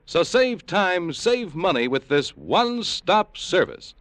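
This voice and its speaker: A man's voice: high voice, sing-song, slow, a bit bright, no echo. An American man reading a scripted old-time radio commercial as an announcer.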